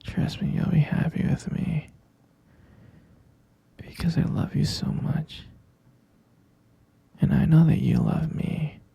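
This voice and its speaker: A man acts out an obsessive, lovesick male captor for an ASMR roleplay. His voice is a very breathy, heavily fried murmur, close and dry. He coos and coaxes, repeating himself with sweet, delusional possessiveness. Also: on the high side, huge pitch swings, slow pace, pauses often, dry recording, full character voice. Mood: tender, possessive. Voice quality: breathy whisper with creaky fry. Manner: hushed, cooing, coaxing, repeating himself.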